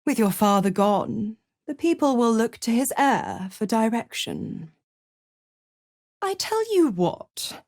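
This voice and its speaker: A woman's voice: mid-range voice, dry recording, clean studio sound, heavily acted. An actress playing a seductive, gloating female devil in a video game, purring with theatrical, mocking condescension and savoring her words. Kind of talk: scripted video game character acting.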